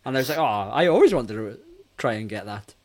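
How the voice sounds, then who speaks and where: A man in his forties with an average-pitched voice talks casually as a podcast co-host. He rambles and hesitates as he works through his thoughts on a film. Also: lively intonation, brisk. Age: 40s.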